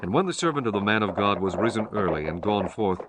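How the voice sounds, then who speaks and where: A man narrating dramatized scripture in a solemn, measured storytelling manner. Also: lowish voice, animated, background noise. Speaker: audiobook narrator. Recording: music bed under the voice. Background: music.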